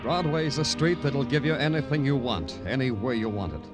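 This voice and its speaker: An American man playing a brooding, hardened New York detective in 1950s radio crime drama style, speaking in a terse, moody, deliberate way. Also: mid-range voice, close to an everyday voice. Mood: wry, hardboiled. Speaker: lead actor and narrator. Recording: vintage radio recording with music.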